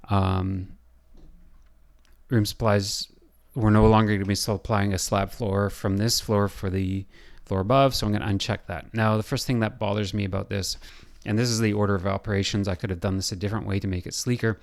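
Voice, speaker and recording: A man with a hoarse, scratchy voice, losing his voice, talking through a software design tutorial and thinking aloud with lots of pauses and fillers. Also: on the low side, fairly even intonation. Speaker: building designer.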